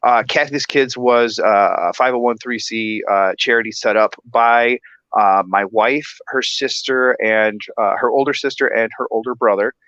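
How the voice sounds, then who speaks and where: A man's voice: medium pitch, steady tone, normal speed, fairly bright tone, dry recording. Guest, man in his thirties, from Michigan, chatty and given to laughing, dull muffled audio. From Michigan, USA. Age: 30s. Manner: chatty, laughs often, many restarts. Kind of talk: casual chat.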